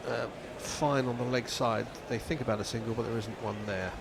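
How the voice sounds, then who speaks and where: A man's voice: mid-range voice, steady tone, fairly quick, gravelly, background noise. Wry man calling cricket on radio. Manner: dry, wry asides between deliveries. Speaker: ball-by-ball commentator. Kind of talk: live radio cricket commentary.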